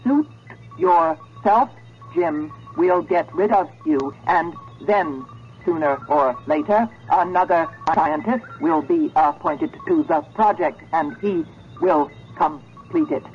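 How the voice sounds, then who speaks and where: An actress playing a coldly menacing, sentient female computer in a 1970s radio drama, speaking slowly, deliberately and imperiously. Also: sits low, faint music behind. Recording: dull vintage radio drama recording.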